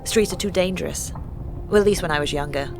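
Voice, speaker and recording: A poor young woman of the slums acted by a woman speaks in a low voice. She is guarded and resentful, keeping her answers terse. It is a fantasy audio drama with soft music underneath. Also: fast, creaky. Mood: wary.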